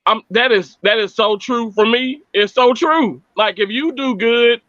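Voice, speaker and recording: A man's voice: extremely high pitch, a little slow, pushed and bright, no echo, dull audio. A man from Mississippi chatting on a live stream from his family home, upbeat, earnest and faith-filled. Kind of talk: casual live stream chat.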